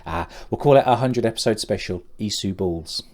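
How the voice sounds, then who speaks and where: A man's voice: lowish voice, normal speed, darkish tone. A man co-hosting a podcast in casual chat, teasing and laughing often. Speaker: podcast co-host.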